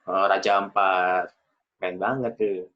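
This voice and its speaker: A man of about twenty, hosting a casual podcast chat, chatty and quick to laugh. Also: deep voice, steady tone. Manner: chatty, laughs often, loose slangy talk. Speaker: podcast host. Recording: dull lo-fi recording.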